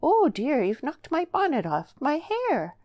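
Woman voicing a high-pitched, coy young country girl. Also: huge pitch swings, unhurried, a little mellow, dry recording, full character voice. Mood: coy, teasing. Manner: coaxing, coy.

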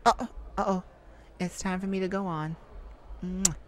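A woman voicing a bashful, flirtatious male lounge singer in a soft, hesitant, intimate ASMR roleplay. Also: medium pitch, fairly even intonation, moderate pace, a crowd in the background, lightly acted. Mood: flattered, flirty.

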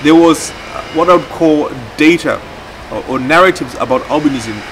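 Halting man interviewed outdoors, noisy background. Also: on the high side. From Malawi. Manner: halting, searching for words. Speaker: project facilitator. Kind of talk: documentary interview. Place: outdoor garden courtyard.

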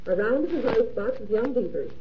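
Actress as high-voiced lady investigator, old radio. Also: expressive, a little fast, lightly acted. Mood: matter-of-fact. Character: dutiful female private investigator reporting in. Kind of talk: 1930s radio acting. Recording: dull, faintly noisy 1930s radio recording.

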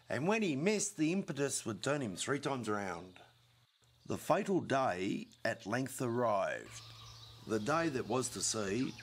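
A middle-aged man, reciting a comic bush ballad as an expressive storyteller. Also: mid-range voice, slight background noise. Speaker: poetry reader.

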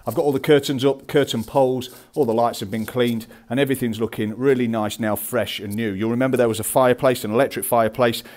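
A man's voice: medium pitch, steady tone, fast talker, hardly any pauses, darkish tone. A man in his forties from the UK, chatting through practical tips as he walks around an empty rental house, speaking into a wired earphone mic. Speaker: landlord vlogger. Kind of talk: casual walkthrough vlog. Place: empty house and parked car.

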